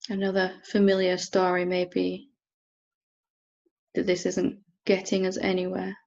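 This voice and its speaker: A woman teacher leading a guided meditation in a gentle, unhurried manner with long pauses. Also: lowish voice, completely flat delivery, gravelly, dull audio. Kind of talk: guided meditation, softly instructing.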